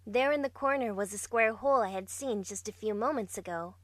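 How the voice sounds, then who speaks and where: A woman's voice: medium pitch, fairly quick, fairly bright tone, no echo, lightly acted. A woman playing a bewildered young heroine, narrating her own story in an amateur visual novel dub. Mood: introspective. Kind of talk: first-person visual novel narration, fandub.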